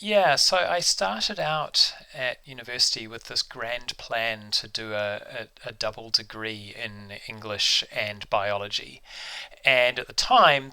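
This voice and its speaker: A man's voice: medium pitch, bright, forceful tone, smooth. A man with a New Zealand accent chatting casually as an interview guest, telling anecdotes in a wry, self-deprecating way. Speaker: university biology professor, interview guest.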